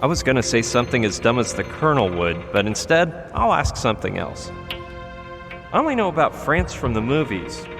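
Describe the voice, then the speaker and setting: Wry adventure-game hero, animated delivery, music bed. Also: male voice, mid-range voice, a little fast, big-room sound. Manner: sarcastic, joking. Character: wisecracking young male adventure hero. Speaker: game protagonist.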